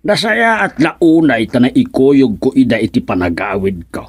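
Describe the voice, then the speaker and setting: Older Ilocano father-in-law male actor, radio comedy serial: excitable, bossy, swooping delivery with a rough husky edge. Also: medium pitch, normal speed. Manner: exclamatory, calling out orders.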